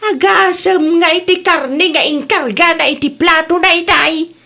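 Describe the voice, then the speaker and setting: A woman's voice: extremely high pitch, unhurried, creaky, sharp tone, full character voice. A woman with an Ilocano accent playing a nagging, no-nonsense village housewife in a comedy radio drama. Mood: complaining.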